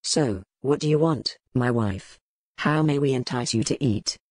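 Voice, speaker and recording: Stern lordly duke guy, low and firm, dry close sound, a woman putting him on. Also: unhurried, a little mellow, no echo. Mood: commanding. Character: stern commanding male duke, hard master. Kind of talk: audiobook character voice.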